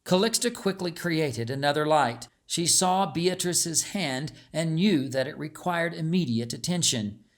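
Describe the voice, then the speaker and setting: A man reading a scripted story as an audiobook narrator, with a measured, dramatic storytelling delivery. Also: a little high.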